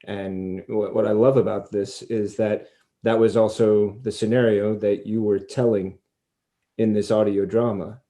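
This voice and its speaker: A man hosting a conversational interview on a video call, speaking in wordy, deliberate, self-deprecating phrases. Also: on the low side, dark tone. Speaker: podcast host and interviewer.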